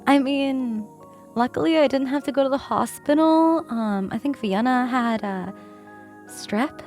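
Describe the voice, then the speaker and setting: A woman's voice: darkish tone, soft background music. Giggly streamer woman with a worn voice. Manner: giggly, laughs often, deliberately lowered voice; chatty, rambling with fillers. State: voice worn from a bad cough. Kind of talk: casual livestream chat.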